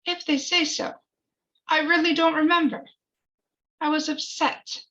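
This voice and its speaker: A woman playing a bitter, measured man testifying at his murder trial in a dramatic screenplay reading, on a lo-fi recording. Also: high voice, level tone, bright, forceful tone, voice pushed up. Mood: bitter, guarded. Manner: measured, defensive. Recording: dull, lo-fi recording.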